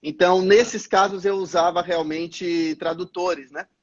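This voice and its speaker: Husky high-voiced man, muffled. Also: steady tone. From Brazil, Salvador. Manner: measured, explanatory, frequent "é" fillers. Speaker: missionary pastor guest. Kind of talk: live-stream interview answers.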